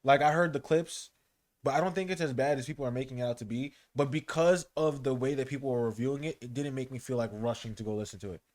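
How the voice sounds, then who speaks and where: A man's voice: medium pitch, fast talker, a little mellow, no room sound. A man co-hosting a casual podcast, talking in an easygoing, agreeable way. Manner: easygoing, agreeable, laughs along. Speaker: podcast co-host. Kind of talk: casual chat about rap and culture.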